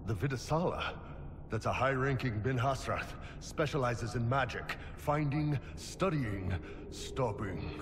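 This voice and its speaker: An actor playing a gruff, skeptical warrior companion in a video game, with a blunt, clipped delivery. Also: male voice, mid-range voice, expressive, a little slow, close to an everyday voice. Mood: grim, informative. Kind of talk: dramatic video game dialogue. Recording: cavernous reverb, clear game ambience noise.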